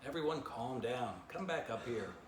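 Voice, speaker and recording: A composed, patient dog leader from a children's storybook, voiced by a man, firm yet soothing. The sound is echoey, with clear noise in the background. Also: mid-range voice, animated, moderate pace, lightly acted. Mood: firm, calm. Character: calm, sensible male dog, patient leader.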